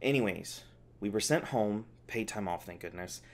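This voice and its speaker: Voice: sits low, normal speed. A performer voicing a snarky, exasperated scientist held captive in an audio roleplay, sarcastic, testy and casual in speech. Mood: uneasy, explaining. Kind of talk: ASMR audio roleplay, one-sided dialogue.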